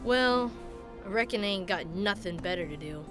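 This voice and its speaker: A woman's voice: medium pitch, lively intonation, moderate pace, over music, close to an everyday voice. A woman playing a sullen, skeptical young frontier boy with an American Western accent and blunt rustic speech. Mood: skeptical, grudging. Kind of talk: radio drama acting.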